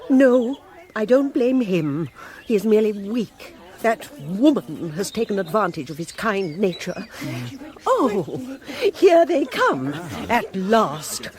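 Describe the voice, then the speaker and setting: An actress playing an affected, theatrical middle-aged society hostess with an upper-class English accent in a radio comedy drama. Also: female voice, medium pitch, sing-song, slow pace, a little mellow. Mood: dismayed.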